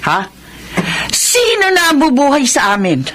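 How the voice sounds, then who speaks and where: A woman's voice: high voice, wildly swooping pitch, unhurried, a bit bright, exaggerated voice. An actress playing a grasping, indignant middle-aged mother in a radio drama. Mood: defensive. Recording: noisy radio drama recording.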